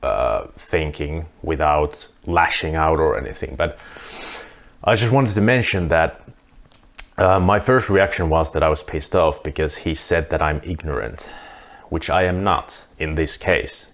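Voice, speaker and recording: A Finnish man speaking English as a second language, earnestly talking through his views to camera with frequent restarts. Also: very deep voice. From Finland. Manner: earnest, thinking aloud with restarts. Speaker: vlogger. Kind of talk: casual monologue to camera.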